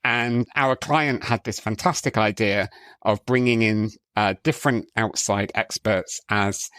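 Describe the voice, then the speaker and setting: The man who opens an interview podcast from a dry little studio, keenly introducing his guest in a lowish voice. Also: fairly bright tone, no echo. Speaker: podcast host.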